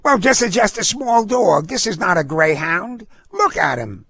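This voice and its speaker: A man's voice: sits high, big pitch swings, unhurried, gravelly, bright, forceful tone, no room sound, clean studio sound, voice pushed up, exaggerated voice. A man voicing a feisty, stubborn working-class housewife in a storybook, arguing indignantly. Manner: indignant, argumentative.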